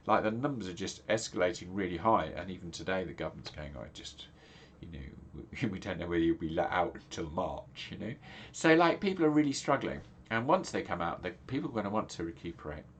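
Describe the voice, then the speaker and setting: A man's voice: low voice, brisk, hardly any pauses, fairly bright tone, slight background noise. A middle-aged man from England, a pastor, talking casually to camera with a stuffy, nasal voice and many ums and restarts. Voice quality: nasal, congested. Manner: rambling, many fillers and restarts. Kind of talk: casual video talk.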